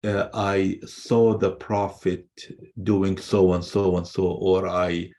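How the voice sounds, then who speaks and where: Very low-voiced man, halting and emphatic. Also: little pitch movement, dark tone. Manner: halting, repeats words, emphatic. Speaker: guest presenter, scholar. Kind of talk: unscripted answer to a question.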